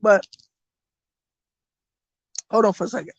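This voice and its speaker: A woman running a casual livestream as a spiritual reader, blunt and profane, with a voice that is going hoarse. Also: mid-range voice, unhurried, no room sound, lo-fi sound. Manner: blunt, profane and animated, talks to her chat. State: losing her voice.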